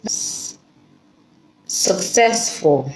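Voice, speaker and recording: A woman vlogger with a fairly low voice talks straight to her viewers in a chatty way. She now and then catches on a sound, repeating "you guys" and laughing it off, while faint music plays underneath. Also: animated, extremely slow, gravelly, a little mellow, low-quality recording. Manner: stutters on some words. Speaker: vlogger teaching speaking tips. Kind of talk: casual talk to camera.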